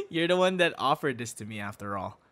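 A man's voice: a little high, wildly swooping pitch, normal speed, full character voice. Man acting a hesitant young boyfriend in audio roleplay. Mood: warm, excited. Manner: conversational, hesitant, with stammers and soft asides.

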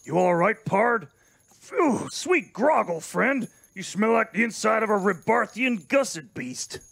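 A man's voice: high-pitched, lively intonation, a little slow, gravelly, fairly bright tone, no echo, heavily acted. A man playing a folksy, blunt, hard-drinking space cowboy with an American cowboy drawl in a live radio play read-through. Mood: folksy, tipsy.

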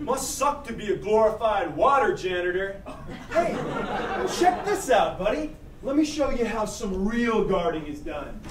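A man acts a mocking, boastful lifeguard in a stage comedy sketch. His voice is very high, caught from a distance in an echoey theater with a loud crowd. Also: big pitch swings, unhurried, noisy background. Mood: mocking, smug. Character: cocky, taunting male lifeguard rival, smug show-off.

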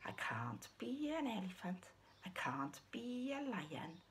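Puppet show squirrel: woman as timid, wistful young female, soft, breathy and pleading. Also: mid-range voice, big pitch swings, takes it slowly, a little hiss or hum. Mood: reflective.

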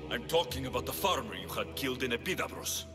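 An actor playing a righteous young warrior in a video game, intense, confrontational and protective. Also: male voice, medium pitch, animated, fast, music in the background, lightly acted. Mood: angry, shocked. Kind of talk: dramatic video game acting.

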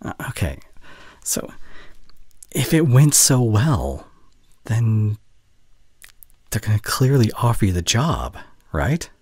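A man playing a soft, breathy, caring boyfriend. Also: lowish voice, sing-song, slow, pauses often, dry recording, heavily acted. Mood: concerned, tender. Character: caring, worried young boyfriend, gentle and earnest. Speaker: audio roleplay performer. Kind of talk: intimate boyfriend audio roleplay. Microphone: close studio condenser microphone.

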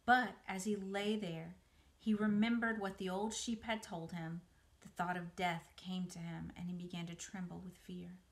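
A woman's voice: on the low side, flat delivery, moderate pace. A middle-aged woman narrating a children's storybook aloud as an educator, recorded indoors in a small room. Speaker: museum educator reading aloud.

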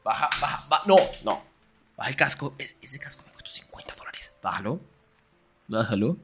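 A man acting a young, sarcastic and jealous best friend in an ASMR roleplay, teasing in a breathy voice that often drops toward a whisper. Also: mid-range voice, animated, normal speed, a bit bright, soft background music. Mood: flustered, teasing.

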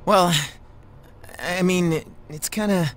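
A man voicing a slangy, easygoing but emotional teenage high school boy in a video game. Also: slow. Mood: hesitant, overwhelmed. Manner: casual, slangy. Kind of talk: scripted game voice acting.

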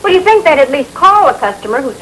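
Haughty housewife, American, high swooping voice, scolding, emphatic, noisy old film soundtrack. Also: female voice, sing-song, fast talker, a bit bright. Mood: indignant. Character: indignant, sharp-tongued, fussy housewife customer. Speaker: actor in a training film. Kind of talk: 1960s training film acting.

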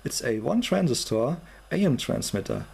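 A man's voice: mid-range voice, sing-song, normal speed, vocal fry, a little mellow, husky. A man with a German accent giving an informal, matter-of-fact technical demonstration as a hobby electronics presenter.

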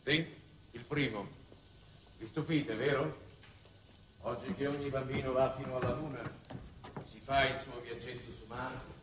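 A man's voice: medium pitch, steady tone, measured pace, pauses often, natural voice. An actor in his forties playing a worldly, wistful foreign minister in a 1960s television drama, urbane and wry in conversation. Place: large reflective television studio set. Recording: roomy, noisy old television soundtrack.

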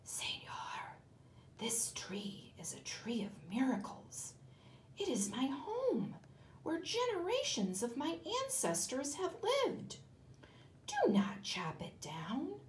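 A woman voicing a rainforest creature that pleads in a soft whisper. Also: high-pitched, huge pitch swings, slow pace, voice pushed up, full character voice. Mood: pleading. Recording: echoey room.

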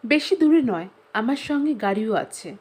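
A woman narrator plays an anxious, desperate mother in an audio story. Her voice is fairly high and very melodic, urgent and imploring as she speaks. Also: lively intonation, moderate pace, darkish tone, breathy edge. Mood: polite urging.

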